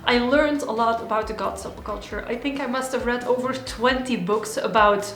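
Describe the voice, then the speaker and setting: A woman's voice: fairly high pitch, moderate pace, a bit bright. Woman vlogging in an echoey room. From Belgium. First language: Dutch. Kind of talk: personal monologue to camera.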